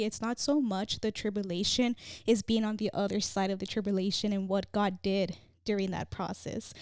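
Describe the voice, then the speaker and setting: A woman's voice: medium pitch, darkish tone, no echo, clean studio sound. A woman co-hosting a faith podcast, talking in a reflective, casual way with lots of "you know" and "like". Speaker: podcast co-host.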